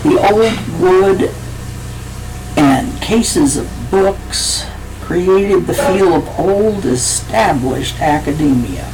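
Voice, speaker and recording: Slow, measured woman reading her own fiction. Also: medium pitch, noisy background. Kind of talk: reading a novel chapter aloud.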